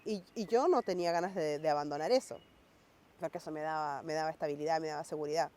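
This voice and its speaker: A woman's voice: low voice, quick pace, a little mellow. A woman raised in Norway, reflecting in a documentary interview and speeding up when she quotes her parents.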